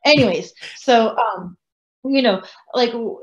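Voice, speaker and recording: Woman, forties, non-native English speaker, restaurant owner, animated, rambling, video call, dull audio. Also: fairly high pitch, slow. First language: French. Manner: animated, gestures, rambling asides. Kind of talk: casual panel talk. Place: home room, indoors.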